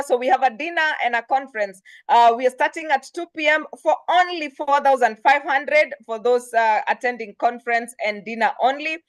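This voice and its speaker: Female host, Kenyan accent, high voice, effusive promotional delivery, close dry sound. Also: dry recording. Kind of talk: enthusiastic event announcements and guest introduction.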